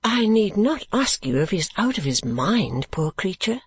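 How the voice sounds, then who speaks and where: A reader voicing a compassionate, well-bred young woman from a storybook, polite and earnest in a soft manner. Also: mid-range voice, big pitch swings, a little slow, slightly rough, no echo, voice pushed up. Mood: gentle concern.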